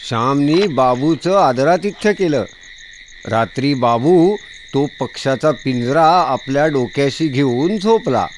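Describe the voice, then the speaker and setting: A man's voice: medium pitch, lively intonation, measured pace, slight background noise. A man narrating a scripted children's fairy tale as storyteller.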